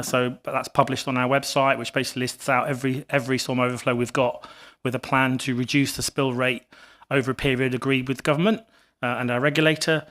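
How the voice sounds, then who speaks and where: A man with a rough, gruff voice from a cough, answering questions from a council committee in a halting way that repeats words. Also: mid-range voice, a bit bright. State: hoarse with a cough. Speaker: water company representative. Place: council committee meeting room.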